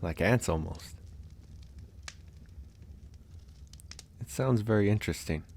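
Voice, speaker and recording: A man playing a gentle, reassuring young werewolf who lives alone on a mountain, soft-spoken in an ASMR roleplay. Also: deep voice, a little hiss or hum. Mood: wistful, calm.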